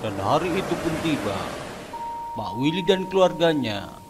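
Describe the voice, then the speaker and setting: A man giving scripted, steady narration for a horror cartoon. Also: on the high side, moderate pace. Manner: steady storytelling. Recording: music under voice.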